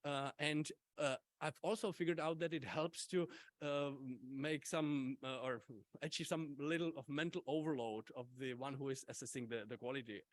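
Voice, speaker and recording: A man whose first language is Czech gives an academic conference talk, halting often with fillers and restarts. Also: on the high side, steady tone, no echo. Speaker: invited conference speaker.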